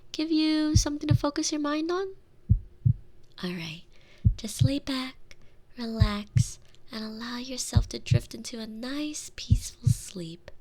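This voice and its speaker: A woman playing a tender, protective girlfriend in an intimate ASMR roleplay, speaking soothingly and softly into a close binaural microphone with lingering phrases. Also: on the high side, low-quality recording. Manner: soothing, breathy near-whispers, lingering drawn-out phrases. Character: tender, protective girlfriend soothing her frightened lover to sleep.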